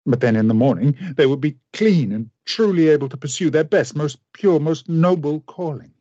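An actor in a radio play playing a tormented, remorseful gentleman scientist with grave, formal, deliberate diction. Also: a little high, lively intonation, dry recording, dull audio. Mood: confessing.

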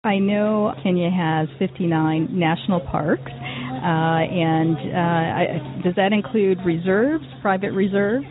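A woman's voice: on the low side, takes it slowly, music in the background. Woman host asking questions over phone-like audio. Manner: asks long, searching questions with some hesitations. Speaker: radio host. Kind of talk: interview questions. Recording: phone-like narrow band.

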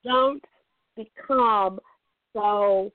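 A hoarse woman speaking as a guest in an interview, talking about her genealogy work with emphatic enthusiasm. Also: medium pitch, extremely slow, no room sound. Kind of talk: interview answers. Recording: tinny phone line, dry.

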